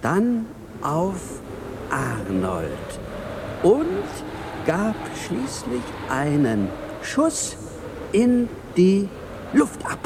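Theatrical, slow-talking schemer. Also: male voice, high-pitched, huge pitch swings, heavily acted. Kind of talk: German radio drama acting. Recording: noisy digitized cassette radio play.

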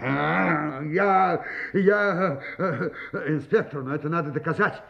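A man's voice: a little high, takes it slowly, vocal fry, muffled recording. A man acting an agitated, self-important pharmacist villain in an audio drama, theatrical, insistent and prone to stammering. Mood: panicked, indignant.

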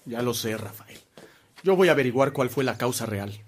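An actor playing a priest's loyal, concerned brother in a radio drama, speaking earnestly. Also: male voice, mid-range voice, lively intonation, normal speed, slight background noise, lightly acted. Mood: frustrated, affectionate.

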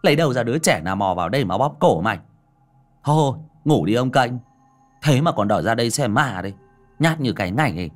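A man voicing a brash, grumpy young village man who mocks his scared friend in a loud, fast, scoffing way. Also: mid-range voice, big pitch swings, soft background music, voice pushed up. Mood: mocking.

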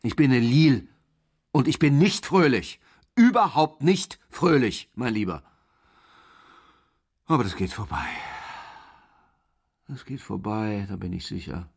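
An actor playing a brooding, lovesick police detective who narrates a radio crime drama. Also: male voice, medium pitch, expressive, a little slow, gravelly, pauses often, darkish tone, husky, dry recording, clean studio sound, exaggerated voice. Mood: bitter. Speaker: lead actor and first-person narrator.

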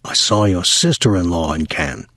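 A man's voice: sits low, lively intonation, moderate pace, a bit bright, breathy edge, no echo, studio quality, exaggerated voice. A man voicing a drunken, insistent sailor with thick, slurred speech in an audiobook story. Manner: rambling, defensive drunken insistence.

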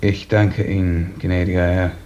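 A man's voice: sits low, unhurried. An actor playing a submissive, broken male serf who answers dutifully, in a 1950s German radio drama. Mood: subdued. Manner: flat, subdued, servile monotone. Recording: dull, muffled 1950s radio recording.

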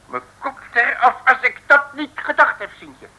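An actor playing a cheeky, cowardly Dutch detective who grumbles melodramatically in slang in an old comic radio serial. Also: male voice, very high-pitched, normal speed, bright, forceful tone, full character voice. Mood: alarmed.

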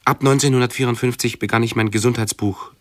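An actor in a radio drama playing an anxious, pedantic hypochondriac who speaks in a halting, brooding, fussily precise way. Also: male voice, mid-range voice, fairly even intonation, fairly bright tone, no echo, studio quality, lightly acted. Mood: anxious, fussy. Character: anxious, pedantic male hypochondriac with obsessive self-observation. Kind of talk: radio drama monologue and dialogue.

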